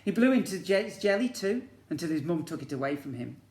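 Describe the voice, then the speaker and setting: A man's voice: a little high, very animated, fast talker, darkish tone. A man reading a storybook aloud to young children like a teacher, expressively and with questions to the listeners. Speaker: infant school teacher reading to pupils. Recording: echoey room.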